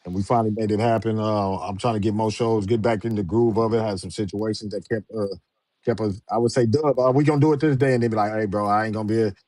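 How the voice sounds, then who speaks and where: A man's voice: on the low side, fairly even intonation, fast, darkish tone, dry recording. A man hosting a show, chatting casually in an informal, slangy way. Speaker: show host. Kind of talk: casual live interview chat.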